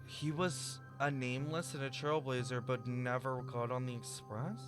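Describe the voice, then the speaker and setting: A man livestreaming a game, reading its text aloud and thinking through it in an animated, puzzled way. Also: mid-range voice, normal speed. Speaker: game streamer. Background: game music.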